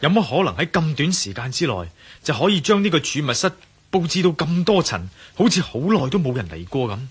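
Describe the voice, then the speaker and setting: A man's voice: fairly high pitch, very animated, fairly quick, husky, exaggerated voice. A man playing a bold, suspicious adventurer in a radio drama, speaking Hong Kong Cantonese in a commanding manner. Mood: insistent, puzzled.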